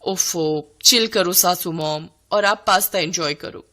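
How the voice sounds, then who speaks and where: A woman's voice: lowish voice, unhurried, sharp tone. A woman voicing a spoiled modern young daughter-in-law in a cartoon story, breezy, bossy and demanding.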